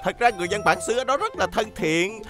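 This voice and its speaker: An actor voices an excitable young forklift for a children's cartoon, with a southern Vietnamese accent. The delivery is eager and proud, swinging up and down in pitch over clear music. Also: quick pace, lightly acted. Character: bespectacled, excitable insect-collecting young forklift. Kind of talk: dubbed children's cartoon acting.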